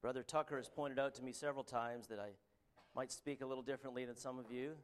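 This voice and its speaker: Canadian man preaching earnestly, halting delivery. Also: mid-range voice, steady tone. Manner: earnest, halting, with frequent ums and restarts. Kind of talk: sermon with scripture reading.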